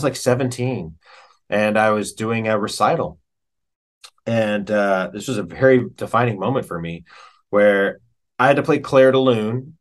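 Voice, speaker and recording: A man chatting casually as an interview guest, telling stories in a slangy, animated, self-deprecating way. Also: lowish voice. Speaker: interview guest, professional keyboard player and organist.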